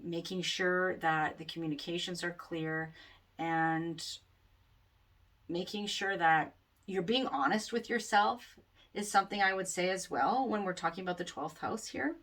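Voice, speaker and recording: A woman astrologer giving a casual forecast, rambling with many fillers, in a loud voice picked up by the camera mic. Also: lowish voice. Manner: rambling, many ums and you-knows. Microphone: camera mic, microphone not plugged in. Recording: distant sound from unplugged mic.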